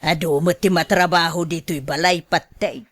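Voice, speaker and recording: A woman's voice: lowish voice, fairly even intonation, normal speed, fairly bright tone, no room sound. A woman with an Ilocano accent playing a put-upon, plaintive housewife who sighs and complains in a radio drama. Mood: reluctant, meek. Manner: plaintive, sighing.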